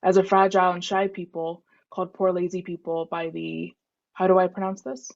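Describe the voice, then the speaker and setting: Woman, gravelly fry, slightly low flat pitch, reading aloud, muffled call audio. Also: moderate pace. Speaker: reader in a group reading. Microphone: video call. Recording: dull, muffled video-call sound.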